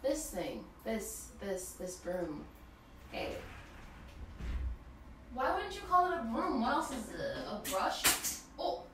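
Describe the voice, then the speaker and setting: Woman, girlfriend roleplay, playful, halting, heavily echoing, away from the mic, watery background noise. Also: on the high side, sing-song, far from the mic. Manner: playful, teasing, halting with repeated words. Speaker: girlfriend roleplay audio performer. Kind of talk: intimate ASMR girlfriend roleplay, casual one-sided chat.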